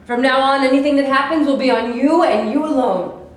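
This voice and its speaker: A woman's voice: high-pitched, brisk, close to an everyday voice. A woman in a staged reading playing an anguished, defiant prehistoric woman mourning her king. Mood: solemn, defiant. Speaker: actor. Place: echoey room at a staged reading. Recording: echoey room, distant mic, faint noise.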